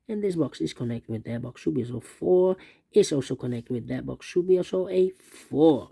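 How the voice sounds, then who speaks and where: Very dark, husky-voiced man teaching step by step. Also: medium pitch, no echo. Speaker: puzzle instructor. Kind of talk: unscripted step-by-step sudoku tutorial, thinking aloud.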